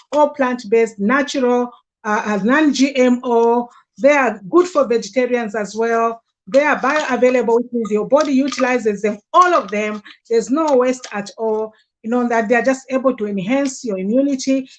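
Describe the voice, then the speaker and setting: A woman hosting a conversational livestream, speaking in an earnest, effusive way as she promotes products. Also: fairly high pitch. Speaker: host promoting health products.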